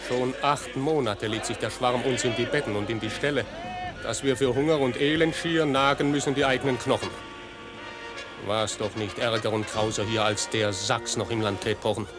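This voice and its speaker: A man's voice: medium pitch, close to an everyday voice. An actor playing a wily, complaining peasant father who grumbles about soldiers. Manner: grumbling, then wheedling. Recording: music playing behind.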